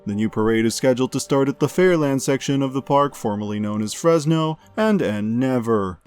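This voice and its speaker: A man's voice: medium pitch, a little fast, a little mellow, clear, resonant tone, faint music behind, lightly acted. A man playing an upbeat amusement news show host, reading scripted comedy news with showy announcer polish and a straight face. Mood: upbeat, deadpan.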